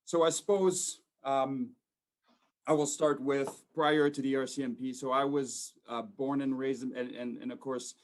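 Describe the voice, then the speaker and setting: A man's voice: mid-range voice, fairly even intonation, unhurried. A man in his forties from Quebec, a police sergeant major giving an informal talk to students over a video call, rambling with many fillers and restarts. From Quebec, Canada. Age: 40s. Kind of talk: informal talk to a school audience.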